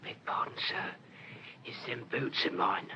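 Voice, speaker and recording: A man's voice: medium pitch, measured pace, sharp tone. A man playing a bumbling, deferential police constable in a radio play, whispering apologetically. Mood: apologetic. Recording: muffled radio drama recording.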